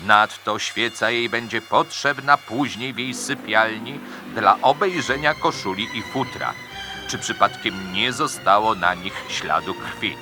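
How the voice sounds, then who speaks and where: A man with a fairly low voice reads a scripted crime story over clear background music. He takes it very slowly, with theatrical pauses that build suspense. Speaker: narrator. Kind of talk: dramatic scripted true-crime narration. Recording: music bed behind the voice.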